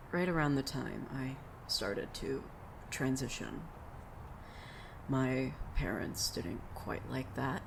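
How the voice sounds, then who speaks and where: An actor playing a sardonic, profane young rescuer with a vengeful streak and a dry, deadpan delivery in an audio drama. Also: medium pitch. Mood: subdued. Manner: deadpan, dry, hesitant in places. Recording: clear noise behind the voice.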